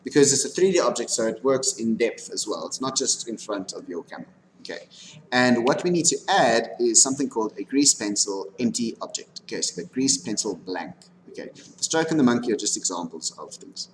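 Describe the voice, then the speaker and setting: A man lecturing informally on animation software, talking through a live demonstration and often checking in with his students. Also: medium pitch, expressive, brisk, rough recording. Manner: instructive, frequently checking in with "okay". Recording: background noise, room ambience.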